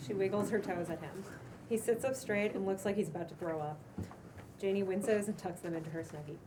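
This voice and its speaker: A woman's voice: mid-range voice, a little fast. A woman reading scripted stage directions as the narrator of a table read. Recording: distant mic, noticeable room noise.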